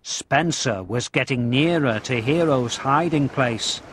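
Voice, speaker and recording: A man narrating a children's train story and game in a clear storytelling manner, addressing young children. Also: mid-range voice, takes it slowly. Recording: loud music behind the voice. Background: music.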